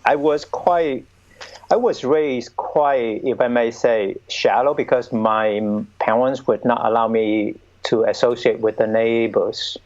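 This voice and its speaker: A man, speaking at an ordinary middle pitch. He talks hesitantly, often restarting his sentences and reaching for words. His voice comes through dull and lo-fi. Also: steady tone, measured pace, darkish tone. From Vietnam. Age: 50s. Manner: hesitant, with frequent restarts and fillers. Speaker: Buddhist monk, guest. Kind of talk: conversational interview. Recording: dull lo-fi sound.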